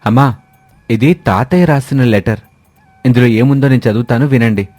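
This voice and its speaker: A man voicing a calm adult son in a family cartoon, reassuring and explaining things. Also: medium pitch, moderate pace, no echo, faint music behind, natural voice. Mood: eager. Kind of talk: animated moral story dialogue.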